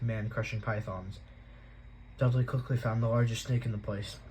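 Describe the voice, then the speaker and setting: A man reading a novel aloud at a steady pace, with an occasional stumble he corrects. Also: mid-range voice, a little hiss or hum, rough recording. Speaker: audiobook reader.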